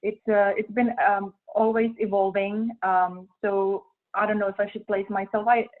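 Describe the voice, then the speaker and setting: A woman's voice: mid-range voice, level tone, moderate pace. Tinny-sounding woman with a Czech accent. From Czech Republic. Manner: hesitant, many ums and uhs, thoughtful. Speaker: interviewee. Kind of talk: casual interview answers.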